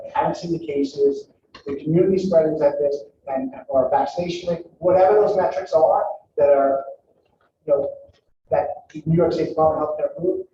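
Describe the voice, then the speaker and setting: A man's voice: fairly high pitch, mellow, a little hiss or hum. Man speaking in an echoey hall. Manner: conversational, many ums and restarts. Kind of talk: public presentation to families. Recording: echoey hall, far from mic, dull.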